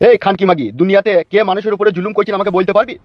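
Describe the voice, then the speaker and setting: Cartoon bully politician, male actor: high, rapid-fire, hot-tempered, stammering bluster, rural West Bengal accent. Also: flat delivery, no echo, close to an everyday voice. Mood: angry, scolding. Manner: blustering, stammers when agitated. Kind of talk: comic cartoon dub. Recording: dull lo-fi sound over music.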